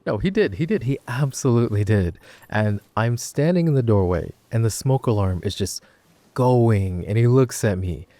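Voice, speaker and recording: A man's voice: lowish voice, dark tone, no echo. A young man playing a chatty, teasing college best friend in an intimate roleplay, rambling wryly and interrupting himself. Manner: rambling, wry, halting with self-interruptions. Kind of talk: intimate boyfriend roleplay audio, casual storytelling.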